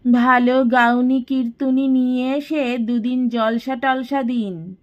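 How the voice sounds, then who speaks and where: Woman acting a haughty, high-pitched elder matriarch. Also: muffled recording. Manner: imperious, scolding, sarcastic. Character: haughty, scheming, domineering elder matriarch of a declining household.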